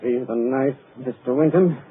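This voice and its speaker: An actor playing a deranged, obsessive doctor with a menacing, halting manner in 1940s radio thriller style. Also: male voice, medium pitch, lightly acted. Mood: menacing frenzy. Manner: halting muttering swelling into frenzied shouts. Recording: scratchy, muffled 1940s radio recording.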